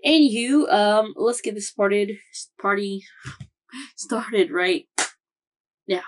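A woman's voice: mid-range voice, slow, noisy background. A young woman hosting an anime reaction channel, rambling casually from a room at home, with lots of fillers and self-corrections. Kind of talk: casual chat recap.

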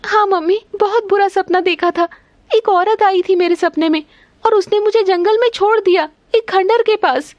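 A woman's voice: extremely high pitch, normal speed, no room sound, muffled recording, voice pushed up. A woman voicing a timid, pleading young snake-girl daughter in a cartoon story. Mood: anxious. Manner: plaintive, pleading.

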